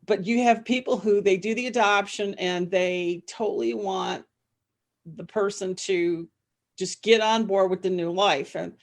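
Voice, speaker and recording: Middle-aged female podcast guest, author type, conversational and animated, loops back on phrases, mid-range voice. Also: medium pitch, measured pace. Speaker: podcast guest, novelist and former psychologist. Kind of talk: casual interview chat.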